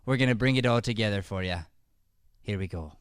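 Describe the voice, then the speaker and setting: A man's voice: on the low side, brisk, clear, resonant tone, dry recording, soft background music. A man hosting an improv comedy show, speaking unscripted and casually into a close podcast microphone. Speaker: improv show host and performer.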